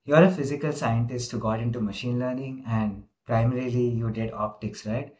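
A man's voice: medium pitch, fairly even intonation, normal speed, darkish tone. Casual male interviewer on a video call. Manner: casual, lots of "like" fillers. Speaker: interviewer, host. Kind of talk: informal interview.

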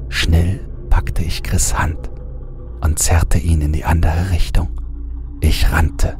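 Deep, slow man narrating horror. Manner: hushed, often half-whispered delivery. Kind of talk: scripted horror audiobook narration. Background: music bed.

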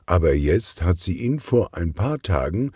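Male narrator for kids' crime audiobook: slow, animated delivery, expressive storyteller. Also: deep voice, husky, no room sound, studio quality. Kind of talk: scripted children's detective audiobook narration.

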